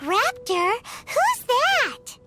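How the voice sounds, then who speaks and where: Childlike fairy mascot: squeaky, excitable, cheeky, swooping pitch, faint music behind, video game. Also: female voice, very slow, heavily acted. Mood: startled.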